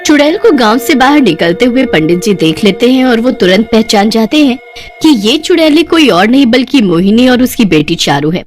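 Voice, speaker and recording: A woman's voice: a little high, lively intonation, normal speed, faint music behind. A woman giving scripted storybook-style narration for a cartoon tale.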